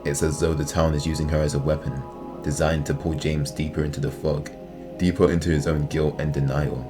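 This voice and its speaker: A man's voice: rumbling low, flat delivery, fairly quick. A man reading scripted, analytical narration as the host of a video essay. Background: music.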